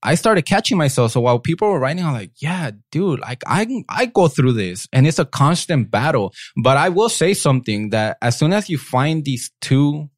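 A man's voice: a little high, sing-song, normal speed, hardly any pauses, no echo, clean studio sound. A man co-hosting a casual motivational podcast, upbeat and talking straight to his listeners, laughing often. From Mexican American. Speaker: podcast co-host.

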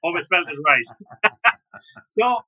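A man's voice: a little high, sing-song, slow pace, pushed and bright, rough recording. A man hosting an interview, asking long rambling questions full of fillers. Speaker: interview host. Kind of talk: casual interview chat.

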